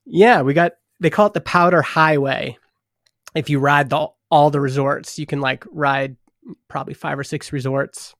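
A man's voice: a little high, fairly even intonation, moderate pace, a bit bright, dry recording, studio quality. A man from northern Alberta with a Canadian accent, chatting casually as a guest on a podcast. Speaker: podcast guest, startup founder. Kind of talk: casual interview chat.

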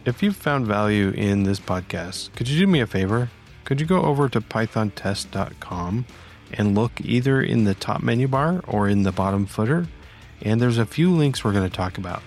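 A man's voice: on the low side, lively intonation, moderate pace, a little mellow, soft background music. A man hosting a podcast, curious and conversational as he introduces the episode and asks questions. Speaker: podcast host.

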